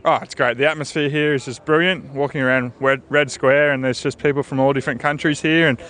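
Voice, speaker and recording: A man's voice: medium pitch, steady tone, fast, fairly bright tone. A man, a football fan speaking upbeat on the street.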